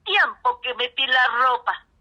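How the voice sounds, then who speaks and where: A woman's voice: high-pitched, lively intonation, measured pace, sharp tone, voice pushed up, full character voice. A woman voicing a cheeky housemaid who whines and exclaims back at her mistress. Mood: indignant.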